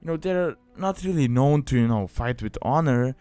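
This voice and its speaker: Young male guide host, clean smooth voice at medium pitch, loose conversational explainer delivery over music. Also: lively intonation, moderate pace, warm tone, no echo. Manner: informal, rambling, conversational. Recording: music behind the voice. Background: background music.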